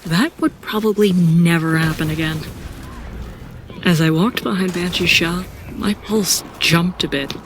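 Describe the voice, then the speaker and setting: A woman playing an introspective seasoned warrior who narrates her own story in an audio drama, reflective and deliberate. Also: fairly low pitch, a little hiss or hum, lightly acted. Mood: reflective, uneasy. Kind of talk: first-person scripted audio drama narration.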